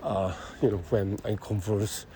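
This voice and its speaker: A man with a Japanese accent thinking aloud in a halting monologue while walking city streets, speaking into a phone, full of fillers and restarts. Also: fairly low pitch, fairly even intonation, dark tone, a little hiss or hum. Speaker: podcast host. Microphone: phone held close.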